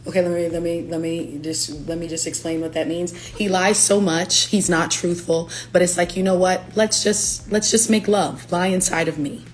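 A young woman, a singer-songwriter, chatting playfully on a livestream from her living room, her voice raspy from allergies. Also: fairly low pitch, steady tone, moderate pace, hardly any pauses. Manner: chatty, playful, breaks into lyrics. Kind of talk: casual livestream chat. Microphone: phone camera at arm's length.

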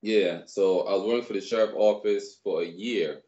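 A man in his thirties from Barbados, raised in New York, telling his story as a guest in a relaxed, rambling way on a video call. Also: lowish voice, normal speed, dull audio. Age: 30s. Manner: relaxed, rambling, many fillers. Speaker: guest, personal trainer. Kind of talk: casual storytelling interview. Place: small room indoors. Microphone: video call webcam.

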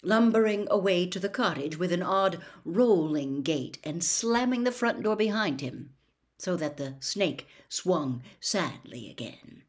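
Slow, animated older woman reading aloud. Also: lowish voice. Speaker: audiobook reader. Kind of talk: expressive storybook narration. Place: small home room with bookshelves, indoors.

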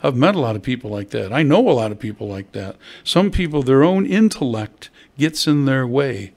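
A man's voice: mid-range voice, animated, normal speed, vocal fry, a little mellow. An older man, a pastor, preaching a sermon at a church pulpit, conversational and asking his listeners questions. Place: church hall at a wooden pulpit. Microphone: stand mic at the pulpit.

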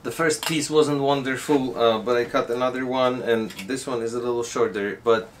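A man's voice: mid-range voice, steady tone, normal speed, a little hiss or hum. Chatty man vlogging his day. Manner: chatty, explains as he goes, restarts sentences. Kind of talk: casual daily vlog talk. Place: indoors, garage workshop and stores.

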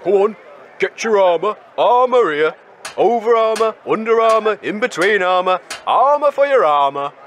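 A man's voice: high voice, lively intonation, moderate pace, a bit bright, a little hiss or hum. A man playing a shameless wasteland market trader with plain-spoken street hawker patter. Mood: hawking.

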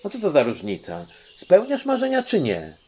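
A man's voice: on the high side, huge pitch swings, normal speed, warm tone, slight background noise, voice pushed up. A man voicing an eager, hesitant young prince in an audiobook, stammering and impatient. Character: young African prince, male, eager, hesitant, easily flustered. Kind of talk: audiobook storytelling.

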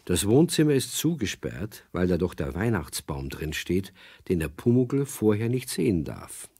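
A man's voice: deep voice, very animated, normal speed, mellow, husky, no echo, clean studio sound, exaggerated voice. A man narrating a children's radio play as an easygoing, colloquial storyteller. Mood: relaxed.

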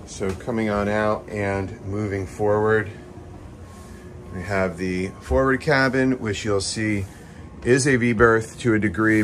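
Low-voiced man giving a boat tour. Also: a little hiss or hum. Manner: enthusiastic salesman, relaxed, with frequent fillers. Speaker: yacht broker. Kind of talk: casual walkthrough tour. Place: on a sailboat at a dockside boat show, outdoors and in the cabin. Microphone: handheld phone camera.

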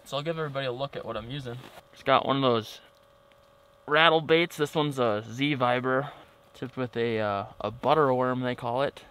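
A young man talking casually as he fishes from a small ice tent, relaxed and chatty. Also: medium pitch, moderate pace, a little hiss or hum, low-quality recording. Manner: relaxed, chatty, gets excited when fish bite. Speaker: outdoor vlogger. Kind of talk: casual vlog narration. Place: small ice fishing tent on a frozen lake. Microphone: camera mic, close.

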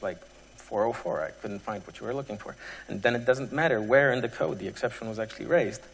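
A man giving a technical presentation to an audience as a conference speaker, pausing often mid-sentence. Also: medium pitch, fairly bright tone, a little hiss or hum. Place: large conference hall. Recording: echoey hall with long reverb.

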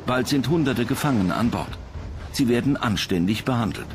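A man's voice: mid-range voice, lively intonation, normal speed, noisy background. A man reading scripted documentary narration as the narrator.

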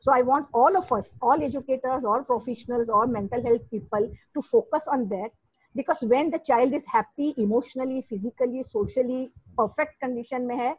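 Indian lady on a video call, kinda high, husky voice, muffled dry laptop sound. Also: a little fast, no room sound. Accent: Indian English. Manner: earnest, emphatic storytelling. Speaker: clinical psychologist turned educator. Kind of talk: panel discussion remarks. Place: home room, indoors. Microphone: laptop webcam on video call.

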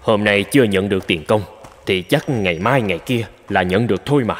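A man's voice: on the low side, brisk, close to an everyday voice. An actor voicing a kind, hardworking peasant husband and father in a cartoon, earnest and reassuring. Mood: weary. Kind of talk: Vietnamese cartoon voice acting. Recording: loud background music. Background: music.

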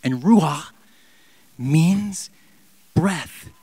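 A man in his thirties preaching a sermon in a church on a handheld mic, impassioned, swinging from loud emphatic peaks down to near-whispers. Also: fairly high pitch, animated, extremely slow, husky, dry recording. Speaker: pastor. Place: large church sanctuary.

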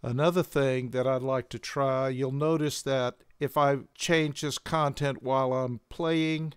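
An older man giving a measured, methodical instructional demonstration as a tutorial presenter. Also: medium pitch, steady tone, smooth, dry recording, clean studio sound.

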